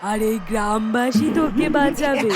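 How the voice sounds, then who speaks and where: A woman's voice: fairly high pitch, unhurried, noisy background, lightly acted. A woman voicing a vengeful, gloating female tree ghost in a horror cartoon, with a threatening, cackling manner.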